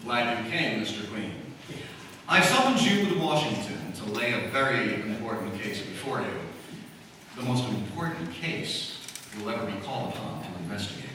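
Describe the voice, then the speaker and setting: A man's voice: lowish voice, very animated, moderate pace. An actor playing a grave, formal male government official in a live radio drama reading, heard from far off in an echoey hall. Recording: echoey hall, mic far off, faint noise.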